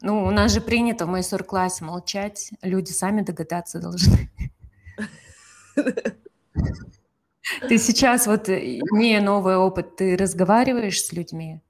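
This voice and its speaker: A woman interviewing a guest, asking questions in a halting way as she searches for words. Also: mid-range voice, a little mellow. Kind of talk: conversational interview.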